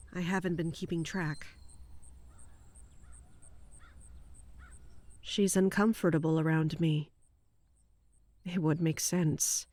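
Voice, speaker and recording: A woman's voice: deep voice, darkish tone, no room sound. An actress playing a dutiful, exasperated female palace guard captain in an audio drama, speaking in a wry, formal way. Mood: guarded, subdued. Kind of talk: audio drama monologue and dialogue.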